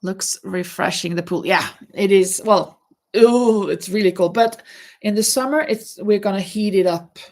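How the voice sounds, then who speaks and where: Middle-aged lady on a crafting livestream, stuffy nasal voice, slow and a bit gravelly, chatting with viewers. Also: mid-range voice, a little mellow. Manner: chatty, reads viewer comments aloud, hums while working. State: stuffy nose, sounds nasal. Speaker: live-stream crafting host. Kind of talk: casual chat while crocheting.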